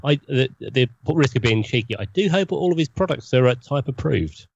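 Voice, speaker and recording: A man's voice: mid-range voice, lively intonation, normal speed, gravelly, breathy edge, dry recording. A man chatting casually as a podcast panelist over a slightly muffled connection. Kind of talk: casual chat. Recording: dull, lo-fi connection.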